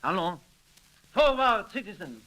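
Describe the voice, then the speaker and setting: An actor playing a brusque, suspicious French revolutionary soldier with a gravelly voice, in 1930s radio style. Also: male voice, sits high, sing-song, a little slow, background noise. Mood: suspicious, musing.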